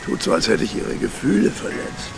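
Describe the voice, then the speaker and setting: An actor in a radio crime drama playing a bitter, bullying slob of a father with a gruff, bossy, complaining way of talking. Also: fairly quick, music in the background, close to an everyday voice. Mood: sour, grumbling.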